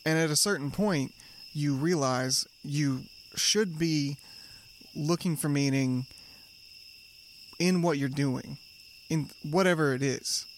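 A man's voice: on the high side, slow, slight background noise. A man co-hosting a conversational podcast, talking in a supportive, reflective way with frequent fillers and restarts. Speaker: podcast co-host. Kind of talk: casual conversation.

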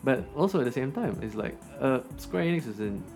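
A man with a Singaporean English accent trades jokes on a film review podcast, his pitch bouncing all over. He talks quickly and teases his co-host. Faint music sits under the talk. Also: very animated, gravelly, darkish tone. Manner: joking, teasing, calls his co-host "sir". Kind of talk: casual film review chat.

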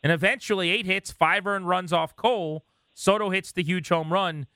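A man hosting a sports radio interview, talking conversationally and recalling games in an animated way. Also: high-pitched, normal speed, no echo.

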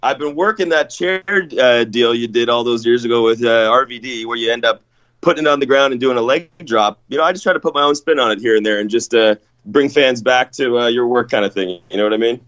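A man's voice: mid-range voice, quick pace, a bit bright, no room sound. A deferential man feeling his way through sentences as a guest on a chat show, heard close and slightly dull over a lo-fi connection. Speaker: professional wrestler, guest on a wrestling show. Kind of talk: casual chat.

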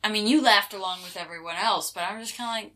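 A woman's voice: medium pitch, quick pace, bright, forceful tone. A woman in casual conversation about a film, analytical, retelling scenes and quoting the characters.

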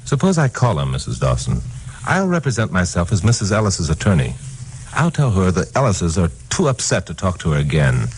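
An actor with an American accent playing a wry, level-headed investigator in a 1950s radio crime drama, measured and matter-of-fact. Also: male voice, deep voice, huge pitch swings, a little hiss or hum, poor audio. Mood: brisk, cautioning. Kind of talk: 1950s radio crime drama acting with first-person narration.

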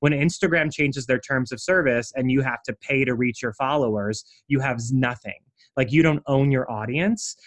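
A man speaking as a guest in a conversational interview, explaining his branding method with animated energy. His voice sits at an ordinary middle pitch for a man, and he drops in frequent casual asides as he talks. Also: moderate pace.

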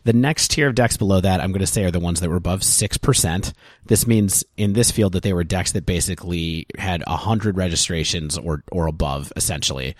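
A man with a very low voice talks through figures at length on a podcast. He is thoughtful and casual, recorded close with a dry, dead sound. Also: brisk, few pauses, no room sound. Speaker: podcast co-host.

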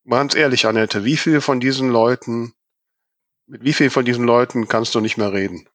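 A man's voice: medium pitch, moderate pace, fairly bright tone, no echo. Male podcast host, close and dry. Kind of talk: casual podcast chat.

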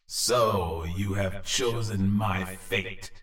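A man's voice: low-pitched, very slow, a bit bright, full character voice. A man voicing a menacing undead water spirit in a folk tale, solemn and threatening, with a hollow echo. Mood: ominous, threatening. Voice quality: echoing, hollow. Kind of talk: dramatic storytelling. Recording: echoey, distant-sounding effect.

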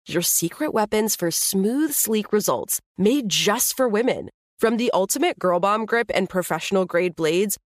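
A woman's voice: medium pitch, no echo, clean studio sound. A woman announcer delivering a scripted advertisement. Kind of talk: scripted ad read.

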